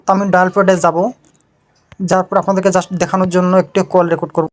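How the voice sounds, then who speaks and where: A woman's voice: on the low side, flat delivery, very fast, slight background noise. A woman narrates a step-by-step tech tutorial as its host, in an instructional, repetitive way.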